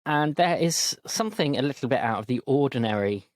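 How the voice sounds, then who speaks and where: A man acting as game master, describing scenes in a relaxed, descriptive way. His voice sits at a middle pitch. The recording is dry and close. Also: brisk, dry recording. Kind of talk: narrating a tabletop game.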